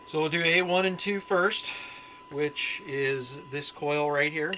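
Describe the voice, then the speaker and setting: A man giving a casual, unscripted repair walkthrough, plainspoken and thinking aloud with pauses as he works. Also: fairly high pitch, background noise. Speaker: hobbyist radio repairer presenting his channel.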